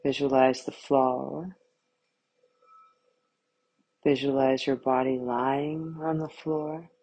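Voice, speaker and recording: A woman guiding a yoga nidra meditation with calm, soothing, measured scripted instructions. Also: very deep voice, low-quality recording.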